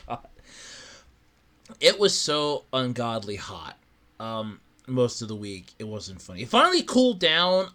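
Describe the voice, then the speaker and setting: A man hosting his own podcast in casual solo chat, rambling with lots of restarts and a slightly raspy voice from allergies. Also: fairly high pitch. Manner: rambling, animated, with many restarts and fillers. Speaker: podcast host. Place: his own room at home.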